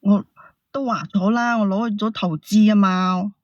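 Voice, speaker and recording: A woman's voice: steady tone, unhurried, a little mellow, dry recording. A woman calling a show, defending herself in a hesitant, evasive way. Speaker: caller. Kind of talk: casual, defensive argument. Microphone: call into show. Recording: dry, dull low-fidelity call audio.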